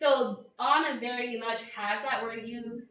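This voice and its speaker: A woman co-hosting a casual podcast chat, with a high soprano voice and a lively, laughing way of talking. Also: measured pace. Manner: bursts into song, lively and laughing. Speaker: podcast co-host. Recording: echoey, distant, dull mic.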